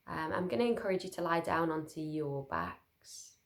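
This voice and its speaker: A woman leading a guided sleep meditation, speaking soothingly and gently in short, unhurried phrases with pauses. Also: sits low, expressive, vocal fry.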